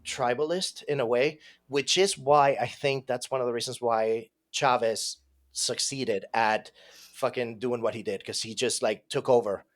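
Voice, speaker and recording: Man, thirties, raised in venezuela, podcast guest, casual storytelling, profane, animated. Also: mid-range voice, a little slow. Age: 30s. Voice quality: profane, animated, laughs while telling.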